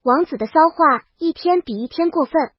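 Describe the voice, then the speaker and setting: High-pitched woman narrating, dry lo-fi sound. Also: moderate pace, no room sound. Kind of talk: scripted first-person comic story narration, as a princess.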